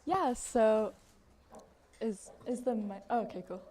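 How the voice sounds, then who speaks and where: A teenage student whose first language is Chinese, giving an earnest, slightly halting research presentation to a group. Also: female voice, a little high, expressive. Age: teens.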